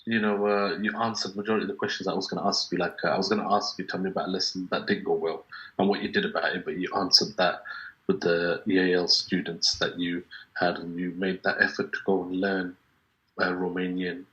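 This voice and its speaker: Low-voiced host, lo-fi rambling. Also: male voice, little pitch movement, fairly quick, a bit bright. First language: Bengali. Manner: rambling, full of "you know" and "uh". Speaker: podcast host, interviewer. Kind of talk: casual interview chat. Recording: dull lo-fi sound.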